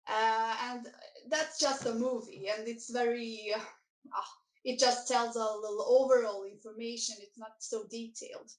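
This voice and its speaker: A young woman with a high voice answers interview questions in an echoey studio. She speaks slowly and tentatively, pausing on fillers and searching for the right word, as a coach from former Yugoslavia. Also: steady tone. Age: young adult. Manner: hesitant, many fillers, searching for words. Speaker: life and business coach.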